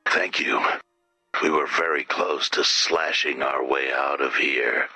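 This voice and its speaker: A hulking video game slasher with an extremely low, cutting voice. He drags his words out slowly, brooding and menacing, yet wounded underneath. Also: steady tone, sharp tone, no room sound, faint music behind, full character voice. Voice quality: gravelly, rasping growl. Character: monstrous male slasher killer, brooding, wounded, easily hurt. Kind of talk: video game character acting.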